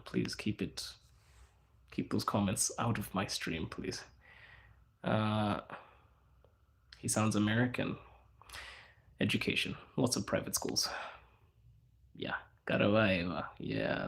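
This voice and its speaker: A young man hosting a casual livestream, answering chat in a hushed inside voice because people are around him. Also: on the low side, lively intonation, measured pace, creaky, long pauses. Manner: speaking quietly in an inside voice, laid back. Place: out in public in a city, people around.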